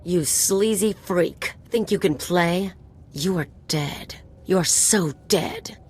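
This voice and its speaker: An actress playing a hot-tempered mercenary companion in a video game, scornful and confrontational. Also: female voice, fairly low pitch, slow, a little mellow, breathy edge. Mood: angry, threatening.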